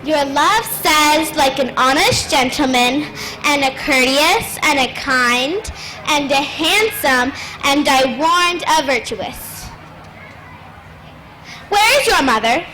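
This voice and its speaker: A woman's voice: high voice, expressive, takes it slowly, rough recording. A woman playing a weary, complaining old nurse in a Shakespearean stage scene, groaning and grumbling theatrically. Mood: teasing, exasperated. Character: weary, complaining old nurse, teasing her young charge.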